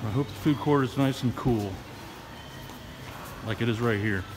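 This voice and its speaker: A middle-aged man talks into a handheld phone as he walks, with chatty, grumbling asides. His voice sits at an ordinary mid pitch. Steady noise runs under the phone audio. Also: moderate pace. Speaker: vlogger. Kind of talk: casual walking vlog. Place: outdoors on streets, then inside a shopping mall. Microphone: handheld phone camera.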